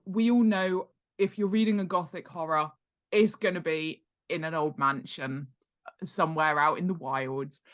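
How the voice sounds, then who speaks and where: A woman speaking as an interview guest, explaining her craft conversationally in an enthusiastic, emphatic way, with an easy laugh. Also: lowish voice. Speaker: interview guest, world-building expert and author.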